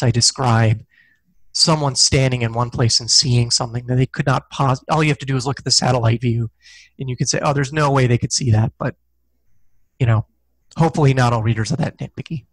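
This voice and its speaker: A man's voice: medium pitch, a little fast, dry recording, low-quality recording. A middle-aged man, an author guest, chatting casually in an interview over a video call, with many easy fillers. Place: small room with a corkboard. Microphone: headset mic on a video call.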